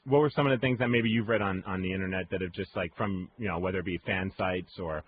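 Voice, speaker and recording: A man's voice: low voice, fairly even intonation, fast talker. A man hosting a casual radio interview, asking questions in an informal, chatty way. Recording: lo-fi phone-like radio recording, noisy.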